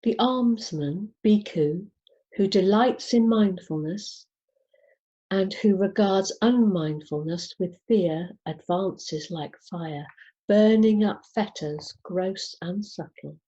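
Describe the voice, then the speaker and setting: A woman reading scripture aloud in a measured, deliberate way, pausing between short phrases. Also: medium pitch, dark tone. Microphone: video call over Zoom. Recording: dull, lo-fi video call.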